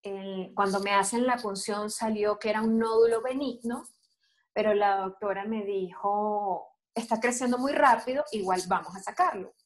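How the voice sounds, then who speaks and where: A woman in her forties with a Venezuelan accent speaks in a middle-range voice. She tells her story in a steady, open way, as a guest on an interview. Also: mid-range voice, moderate pace, poor audio. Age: 40s. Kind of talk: video call interview.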